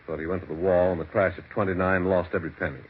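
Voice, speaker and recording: An actor playing a discreet family attorney in a 1940s radio mystery, deliberate and matter-of-fact. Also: male voice, low voice, level tone, husky. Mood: somber, recounting. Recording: tinny, faintly noisy 1940s radio recording.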